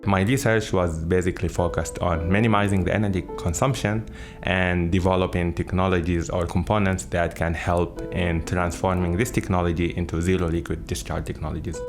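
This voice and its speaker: A young man with a Sudanese accent and a low voice talks casually as an interviewed graduate student. Music is clearly playing behind him.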